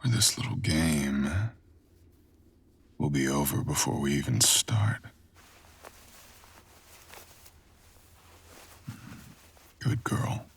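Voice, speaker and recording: A man playing a brooding, possessive, dangerous creature boyfriend in an intimate ASMR roleplay, husky and breathy, murmuring teasing commands. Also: a bass voice, exaggerated voice. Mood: commanding. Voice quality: husky, breathy, often dropping to a whisper. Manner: slow, teasing, murmured commands with deliberate pauses.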